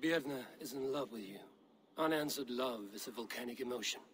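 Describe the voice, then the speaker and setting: An actor playing a stern Viking warrior in a video game, questioning people in a measured, grave way. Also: male voice, mid-range voice, a bit bright, slight background noise, close to an everyday voice. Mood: grave, reporting. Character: stern probing Viking warrior investigating a betrayal. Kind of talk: video game cutscene dialogue.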